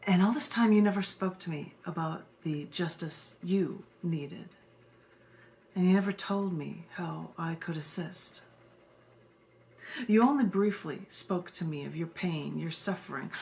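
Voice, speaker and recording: A woman's voice: lowish voice, unhurried, gravelly, a little mellow. An American woman hosting a live online poetry reading, sharing poems and casual asides with her viewers. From United States. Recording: noisy live video stream.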